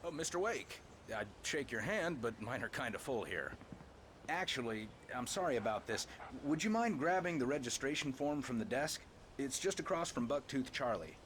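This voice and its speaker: A man's voice: mid-range voice, very animated, normal speed, a bit bright, a little hiss or hum. A man playing a friendly, easygoing, folksy park ranger in a video game, politely spoken.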